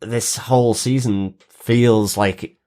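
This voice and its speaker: A man's voice: on the low side, steady tone, slow, warm tone. A man co-hosting a podcast, discussing television in a reflective, qualifying way. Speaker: podcast co-host.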